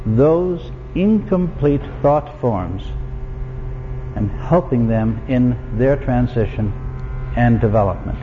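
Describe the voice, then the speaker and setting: Slow-spoken man in an echoey hall. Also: medium pitch, phone-quality sound, a little hiss or hum. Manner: slow, measured phrasing with pauses. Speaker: event host. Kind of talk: slow, deliberate talk to an audience. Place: lecture hall with audience.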